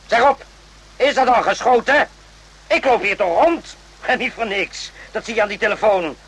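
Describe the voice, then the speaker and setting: Husky, high-voiced, stern officer. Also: male voice, moderate pace, a bit bright, rough recording. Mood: sardonic, irritated. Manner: curt, commanding, sarcastic repetition. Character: stern, sardonic, bossy superior officer interrogating a subordinate. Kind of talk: radio play acting.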